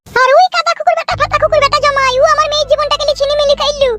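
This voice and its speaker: A woman's voice: extremely high pitch, fairly even intonation, rapid-fire, sharp tone. A woman voicing a middle-aged, scheming village mother-in-law in a comedy cartoon, fawning and folksy, with a Bengali accent. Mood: gushing, cursing. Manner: fawning, folksy, repetitive endearments. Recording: music behind the voice.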